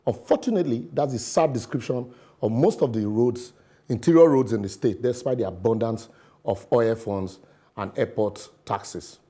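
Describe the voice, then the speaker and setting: A middle-aged man with a Nigerian accent reads his opinion piece to a studio camera. His voice is mellow and a little husky, and he leans on words with sarcasm and pidgin asides. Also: medium pitch, moderate pace. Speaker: commentator. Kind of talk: scripted opinion commentary. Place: television studio.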